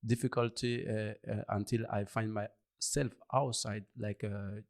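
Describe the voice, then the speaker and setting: A man from Ivory Coast with an Ivorian French accent, a native Bambara speaker, answering interview questions in halting English and searching for words. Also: fairly low pitch, level tone, a little mellow. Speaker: interview guest. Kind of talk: conversational interview.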